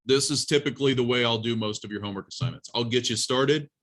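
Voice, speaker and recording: Man, college instructor, bright crisp-edged tone, medium pitch, informal classroom teaching, plain-spoken, instructive, direct to students. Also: fast talker. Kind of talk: informal classroom lesson with live coding demonstration.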